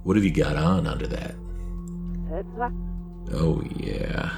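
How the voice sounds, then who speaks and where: A man's voice: rumbling low, lively intonation, slow, gravelly, music in the background, exaggerated voice. A man playing a leering, smarmy office boss in an audio drama. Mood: sleazy, distracted. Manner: suggestive, smarmy. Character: leering, sleazy male office boss on the phone.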